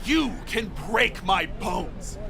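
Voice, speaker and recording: An actor playing a fanatical, paranoid street prophet in a video game, preaching conspiracy theories with theatrical, defiant grandeur. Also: male voice, high-pitched, lively intonation, extremely slow, creaky, music in the background, full character voice. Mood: fervent, defiant.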